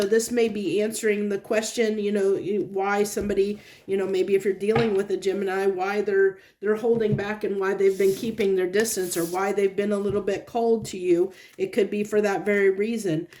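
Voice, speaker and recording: A woman's voice: medium pitch, darkish tone. A woman with a congested, sniffly voice giving a casual tarot reading to camera. She meanders and restarts as she searches for words. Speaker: tarot reader.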